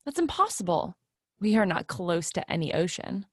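A woman acting in a play reading as a pedantic, dramatic middle-aged office clerk, deliberate and emphatic. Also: lowish voice, big pitch swings, dry recording, clean studio sound. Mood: disbelieving. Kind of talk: readers' theatre play reading.

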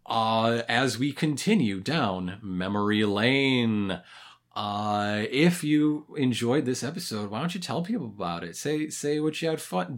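Casual review podcaster, thirties, medium pitch with very lively swings, thinks aloud with pauses. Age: 30s. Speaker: podcast co-host. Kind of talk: casual review chat about a cartoon.

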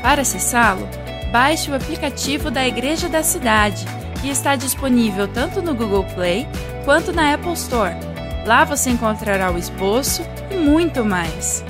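A woman reading a calm scripted church announcement. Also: high-pitched, normal speed. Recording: voice-over with music underneath.

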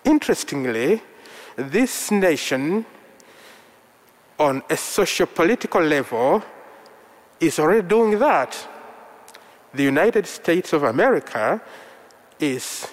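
A man from Uganda whose first language is Luganda, preaching a sermon in slow, measured short phrases. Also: on the high side, big pitch swings. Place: church. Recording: long reverb of a large hall.

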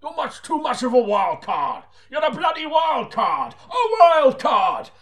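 A man's voice: very high voice, wildly swooping pitch, unhurried, pitched above the performer's own voice, heavily acted. A man playing an exasperated, bellowing police chief constable who blusters and repeats himself in a comic detective audiobook. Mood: exasperated, furious. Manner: blustering, repetitive shouting.

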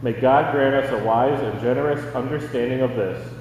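An American man reading scripture aloud in church in a measured, formal manner. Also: medium pitch, darkish tone. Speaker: scripture reader. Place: large indoor church. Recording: very echoey, distant, noisy hall.

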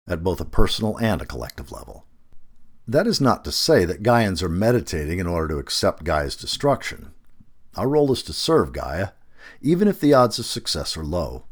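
A man reading a reflective essay aloud as scripted narration, with measured phrasing and deliberate emphasis. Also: low voice.

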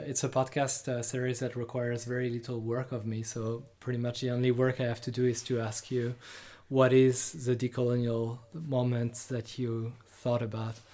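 A man hosting a podcast, reading a scripted intro and then interviewing a guest haltingly, with many filler pauses. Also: mid-range voice, level tone, a little mellow. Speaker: podcast host.

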